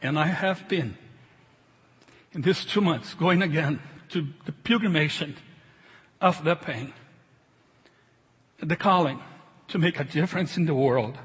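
High-voiced man preaching with a foreign accent. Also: fairly bright tone, breathy edge. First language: not English. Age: middle-aged. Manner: emphatic, halting, repeats phrases. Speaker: pastor preaching. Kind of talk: sermon. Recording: reverberant large hall.

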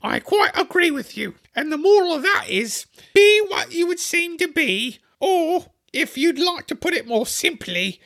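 A fussy, clingy older Duchess who turns everything into a moral. Her shrill falsetto is bright and grating, edged with fry, and it swoops up and down as she lectures in a drawn-out sing-song. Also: sing-song, exaggerated voice. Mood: preachy. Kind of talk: audiobook character voice.

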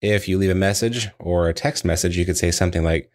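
A man narrating a scripted training lesson in a measured, explanatory way, as if reading from prepared text. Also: sits low. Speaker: dental practice trainer.

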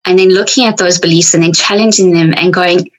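A middle-aged woman with a South African accent speaks in a fairly low voice. She talks as a coach in an easy podcast chat, frank and reflective, and often slips into voicing her own thoughts. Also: fairly even intonation, a little fast. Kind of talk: podcast conversation.

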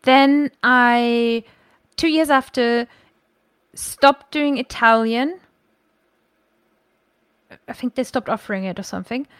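A woman's voice: a little high, takes it slowly, pauses often, clean tone, dry recording. A woman, chatting as a podcast guest and thinking aloud with frequent self-corrections. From Germany. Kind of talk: casual conversational storytelling.

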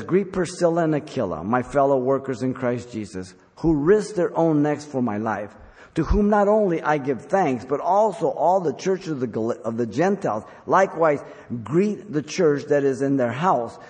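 An older man delivers a sermon to his congregation in an earnest, exhorting manner. He speaks at a medium pitch and repeats phrases to drive them home. His voice rings with the long reverb of a large hall. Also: lively intonation, moderate pace, darkish tone. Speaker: pastor preaching to his congregation. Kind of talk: sermon with scripture reading.

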